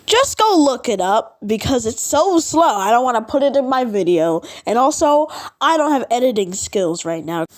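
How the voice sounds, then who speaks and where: A woman's voice: a little high, very animated, normal speed, few pauses. A woman giving casual, rambling vlog commentary in an informal, unsure and self-deprecating way. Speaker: vlogger ranking subway announcements.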